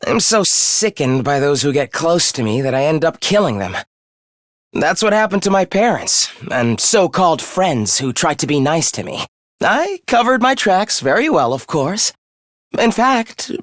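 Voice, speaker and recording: An actor playing a polite, softly menacing young man who loathes humanity, speaking in a formal, theatrical, condescending way for a video game. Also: high voice, big pitch swings, moderate pace, no echo, clean studio sound. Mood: sinister zeal. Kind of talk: English video game voice acting.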